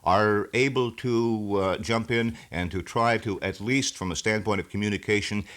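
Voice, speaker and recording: Man, middle-aged, low voice, folksy and long-winded, live 1970s radio talk. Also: fairly even intonation, normal speed, fairly bright tone. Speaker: radio talk show host being interviewed. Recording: 1970s local radio broadcast.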